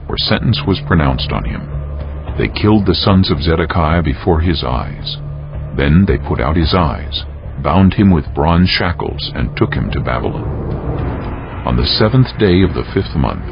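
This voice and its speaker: A man narrating scripture as a dramatized reading, in a solemn, measured storytelling manner. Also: rumbling low, creaky. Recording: dull lo-fi sound under music. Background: prominent background music.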